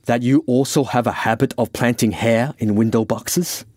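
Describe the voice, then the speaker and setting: A man's voice: medium pitch, fairly even intonation, normal speed, a little mellow, no room sound, clean studio sound, close to an everyday voice. A man voicing a fussy, pedantic, exasperated caretaker in an audiobook story, easily wound up. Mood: pedantic, aggrieved.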